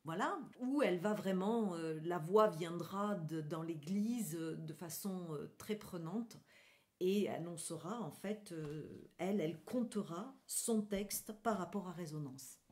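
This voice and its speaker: A woman's voice: on the low side, a little slow. A woman being interviewed on radio as a guest, explaining things enthusiastically and searching for her words as she goes. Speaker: guest, graphic artist presenting a gallery event.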